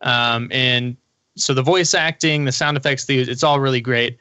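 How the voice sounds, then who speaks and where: A man's voice: medium pitch, fairly even intonation, normal speed, bright, forceful tone, smooth, no echo. A man giving his casual, enthusiastic opinion as a podcast panelist.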